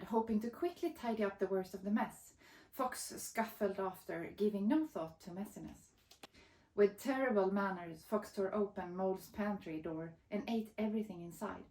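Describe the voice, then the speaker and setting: A woman, reading a picture book aloud as expressive storybook narration. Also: medium pitch, roomy sound, rough recording. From Sweden. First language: Swedish.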